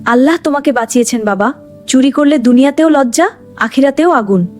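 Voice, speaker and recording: A woman's voice: high voice, fairly quick, a little mellow, natural voice. A woman playing a concerned, admonishing mother in a moral cartoon. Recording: music behind the voice.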